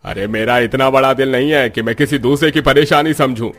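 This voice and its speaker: An actor playing a callous, greedy and dismissive village landlord in a cartoon story. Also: male voice, medium pitch, steady tone, fairly quick, a bit bright, lightly acted.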